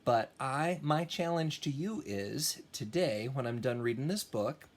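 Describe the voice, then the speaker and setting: A man who teaches music, reading a children's storybook aloud expressively with casual, encouraging asides. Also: mid-range voice.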